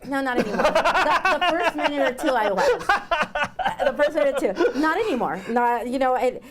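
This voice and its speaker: A woman with a Mexican accent, a guest on a business talk show, who rolls her R's and speeds up when excited. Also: high-pitched, normal speed. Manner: animated and laughing. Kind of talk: casual interview chat.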